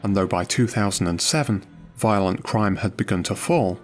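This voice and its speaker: A man's voice: on the low side, moderate pace. A man reading scripted documentary narration over music.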